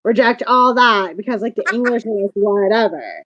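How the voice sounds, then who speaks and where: A woman's voice: medium pitch, moderate pace, a bit bright, a little hiss or hum. A woman hosting a casual chat, talking in long rambling turns full of asides. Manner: chatty, rambling with many asides. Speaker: host. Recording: dull lo-fi sound.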